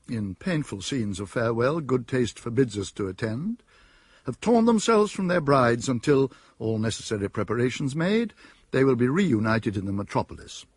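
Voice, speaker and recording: Husky, animated British storyteller. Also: male voice, mid-range voice, dry recording. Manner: arch, literary. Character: wry, genteel storyteller of a period satire. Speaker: narrator. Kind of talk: scripted radio drama narration.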